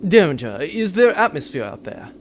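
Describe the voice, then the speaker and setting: A man's voice: on the high side, sing-song, normal speed, over loud music. A man playing a commanding starship captain in a science fiction audio drama. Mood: calmer, in charge. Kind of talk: sci-fi audio drama acting.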